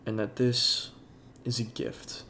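A young man with a congested voice, talking in a halting, soft-spoken way with many fillers over game footage. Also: mid-range voice, monotone, a little hiss or hum. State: congested, sniffling. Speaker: YouTuber. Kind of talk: casual talk over gameplay.

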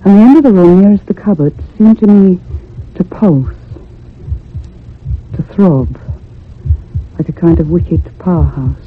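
Troubled ghost story narrator, high voice, measured and earnest, tinny old radio sound. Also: male voice. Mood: uneasy, sorrowful. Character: troubled, sensitive narrator haunted by a child ghost. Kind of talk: dramatic radio horror narration. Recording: tinny, narrow old radio recording with hum.

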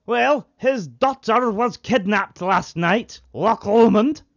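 A man's voice: sits high, slow pace. A man playing a keen, solemn caricature policeman in a parody of old radio drama. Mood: earnest, solemn. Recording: muffled vintage radio sound.

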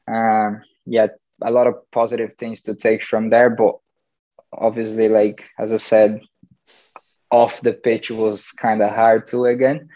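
A man's voice: lowish voice, darkish tone. A young man in his twenties from Brazil, speaking English with a Brazilian accent touched by northern English. He talks loosely and chattily on a video call from home, full of "like" and "you know". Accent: Brazilian with a northern English tinge. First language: Portuguese. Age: 20s. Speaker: footballer, interview guest. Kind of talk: casual interview over video call. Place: small room at home, plain wall. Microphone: laptop webcam video call. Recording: dull lo-fi video call audio.

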